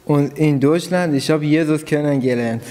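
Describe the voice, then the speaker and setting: A man from Iran giving a personal testimony in halting, phrasing, pausing after each short part. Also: on the high side, warm tone. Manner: simple non-native German, halting phrasing. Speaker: church member giving testimony. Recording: long hall reverb.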